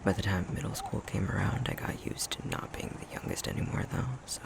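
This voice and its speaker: A man playing a tender, self-conscious young fox-shifter boyfriend in an intimate ASMR roleplay, speaking in a soft, breathy, halting murmur. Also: sits low, little pitch movement. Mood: wry, reminiscing. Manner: unhurried, halting, self-deprecating chuckly storytelling.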